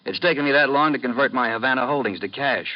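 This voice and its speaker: An actor playing a smug, calculating smuggling boss and killer in a 1950s radio drama, speaking smoothly and gloatingly. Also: male voice, mid-range voice, fast talker, natural voice. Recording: dull, noisy vintage radio broadcast.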